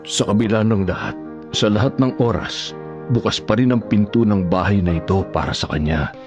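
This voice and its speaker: Actor as a low-voiced gentle older Filipino husband. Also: male voice, normal speed, music in the background, lightly acted. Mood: steadfast. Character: gentle, devoted, forgiving older widowed husband. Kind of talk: Filipino radio drama acting.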